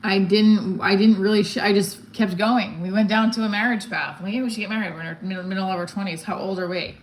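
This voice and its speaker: A woman's voice: mid-range voice, fairly even intonation, fast. Rambling woman in a roomy, echoey space. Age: 40s. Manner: rambling, blunt, candid, often breaking off mid-thought. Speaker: stand-up comedian livestreamer. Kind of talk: casual livestream chat. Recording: roomy echo, some background noise.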